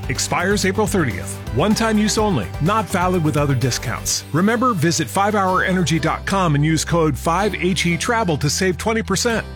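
An upbeat male announcer reading a brisk radio ad with a high-pitched voice. Clear music plays under him the whole time. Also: lively intonation, a bit bright. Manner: brisk, upbeat promo delivery. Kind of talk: scripted radio ad read.

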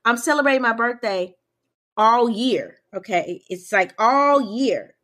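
A woman's voice: a little high, animated, measured pace, fairly bright tone. A woman in her forties co-hosting a podcast, chatting emphatically and talking straight to her listeners. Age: 40s. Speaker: podcast co-host. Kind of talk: casual chat.

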